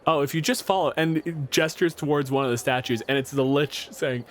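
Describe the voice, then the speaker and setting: A man's voice: on the high side, fairly quick, a little hiss or hum. A man casually narrating a tabletop game as game master, with a wry, joking manner. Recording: faint background music bed. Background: music.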